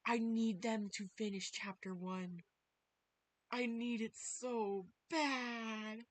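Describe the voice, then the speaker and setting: A woman's voice: fairly high pitch, steady tone, takes it slowly. A woman livestreaming a visual novel, reading the text aloud and reacting playfully as she goes. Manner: playful, reactive, shifts between reading and chat. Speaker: streamer. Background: loud game music.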